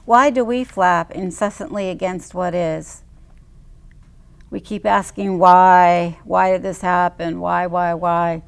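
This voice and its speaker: A woman's voice: fairly low pitch, steady tone, takes it slowly, creaky, clear, resonant tone. An older woman sharing poetry and conversation as a show's co-host. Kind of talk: poetry reading and casual chat.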